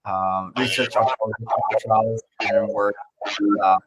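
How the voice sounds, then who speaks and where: A man from Canada talking casually and haltingly as an interview guest, through a laptop on a video call. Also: fairly low pitch, flat delivery, background noise. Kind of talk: casual self-introduction and chat.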